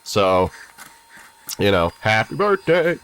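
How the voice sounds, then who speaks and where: A man's voice: on the low side, very animated, slow, fairly bright tone, clear, resonant tone. A man in his twenties, stuffy from a head cold, giving rambling, chatty let's-play commentary. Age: 20s. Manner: rambling, chatty, self-deprecating. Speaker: gaming YouTuber.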